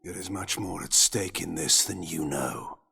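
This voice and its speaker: An actor playing a proud, ancient vampire lord in a video game, grave and measured. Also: male voice, bright, forceful tone, exaggerated voice. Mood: controlled, insistent. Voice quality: husky, gravelly low voice. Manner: measured, imperious, theatrical delivery. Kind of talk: dramatic video game cutscene acting.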